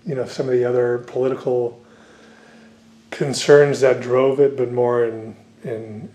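Man, grew up speaking Dutch, scholar, author, interview answers, hesitant, frequent fillers, mid pitch. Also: level tone, measured pace, warm tone. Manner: hesitant, many fillers and restarts.

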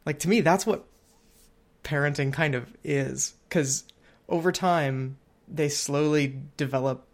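Creaky-voiced man chatting, slightly high pitch. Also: measured pace. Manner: rambling, thinks aloud, acts out imagined quotes. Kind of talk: casual conversational podcast chat.

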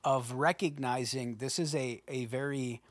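A man in his forties, a pastor co-hosting a casual talk show, speaking in an animated, emphatic way. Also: mid-range voice, unhurried, no room sound. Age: 40s. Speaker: pastor, co-host. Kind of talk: casual podcast chat.

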